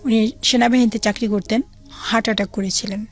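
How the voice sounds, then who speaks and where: Higher-pitched middle-aged Bangladeshi woman. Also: steady tone, fairly quick, soft background music. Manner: acts out remembered dialogue as she narrates. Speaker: guest telling her life story. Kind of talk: conversational personal storytelling.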